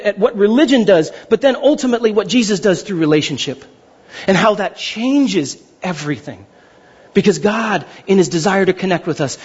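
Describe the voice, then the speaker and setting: A man's voice: sits high, breathy edge, highs cut off. A man preaching as a pastor in an informal, conversational way, talking directly to his congregation. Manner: informal, animated, rhetorical check-ins to listeners. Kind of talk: conversational sermon with scripture reading.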